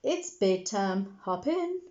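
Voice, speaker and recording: A kindly old granny pig from a storybook, read by a woman. She coaxes gently and patiently in a measured, mid-range voice. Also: medium pitch, very animated, highs cut off. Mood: coaxing.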